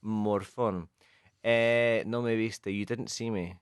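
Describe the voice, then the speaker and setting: A man co-hosting a casual bilingual football podcast, chatty and quick to laugh, with lots of hesitant restarts. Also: fairly low pitch, fairly even intonation, smooth, dry recording, studio quality. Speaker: co-host teaching English.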